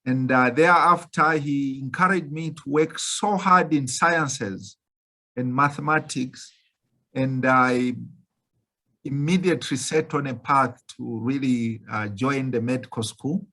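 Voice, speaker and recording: Slow, earnest Zambian-raised doctor. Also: male voice, mid-range voice, sharp tone. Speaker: obstetrician panelist. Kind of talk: online panel talk. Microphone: video call.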